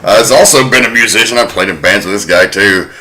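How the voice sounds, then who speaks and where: A man's voice: fairly low pitch, fast talker, bright, forceful tone. A man hosting a podcast, chatting casually and telling a story with the odd swear word thrown in. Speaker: podcast host.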